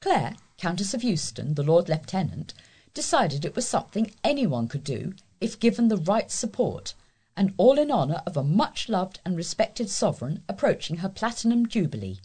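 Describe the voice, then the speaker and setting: A woman's voice: fairly low pitch, very animated, moderate pace, dark tone, breathy edge. A woman reading scripted local news stories as a volunteer newsreader.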